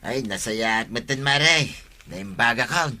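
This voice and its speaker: A man's voice: medium pitch, lively intonation, a little slow, sharp tone, faint music behind. Man playing a piercing, whiny hapless prankster. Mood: meek, apologetic. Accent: Ilocano. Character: whiny, hapless male village prankster, pleading. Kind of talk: Ilocano comedy radio drama acting.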